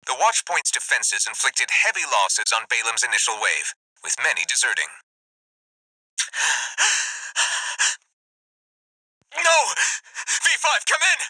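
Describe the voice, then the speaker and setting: A man voicing a polite but scheming, ambitious corporate military officer in a video game, speaking with formal, crisp diction. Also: mid-range voice, huge pitch swings, slow pace, pauses often, sharp tone, no echo, full character voice, low-quality recording. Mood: alarmed. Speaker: military liaison giving mission briefings.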